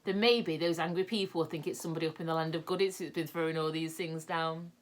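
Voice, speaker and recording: Woman doing a lively young storybook boy. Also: low voice, quick pace, lightly acted. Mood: eager. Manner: eager, alarmed.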